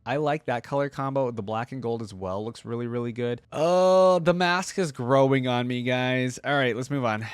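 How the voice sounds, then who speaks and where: Guy doing chill reaction commentary, medium pitch, thinking out loud, exclaims a bit, really dry audio. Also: normal speed, few pauses, clear, resonant tone, dry recording. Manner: informal, thinking aloud, with exclamations. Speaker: video creator.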